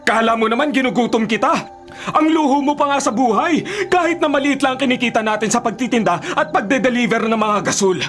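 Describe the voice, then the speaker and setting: An actor playing a weary, exasperated middle-aged husband who sells gas, in a Filipino radio drama. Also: male voice, extremely high pitch, a little fast, fairly bright tone. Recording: music bed behind.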